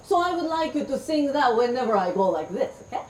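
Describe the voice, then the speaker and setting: A middle-aged woman from Japan, telling stories to children in a slow, clear way and getting them to repeat words. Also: sits high, very animated. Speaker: storyteller. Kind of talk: interactive storytelling for children. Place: room with a live child audience. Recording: roomy, distant sound with faint background noise.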